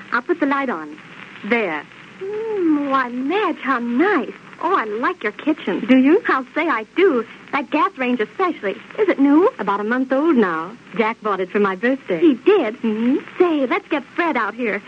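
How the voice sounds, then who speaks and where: Chummy excited housewife in an old radio ad, muffled and hissy. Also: female voice, high voice. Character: chirpy, chummy housewife in a sponsor playlet. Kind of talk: 1930s radio advertisement acting. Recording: dull, noisy old radio recording.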